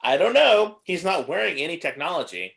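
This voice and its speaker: A man's voice: on the high side, big pitch swings, a little fast, gravelly, fairly bright tone, full character voice. A man voicing a skeptical alien robot sidekick in a script table read, fussy and incredulous.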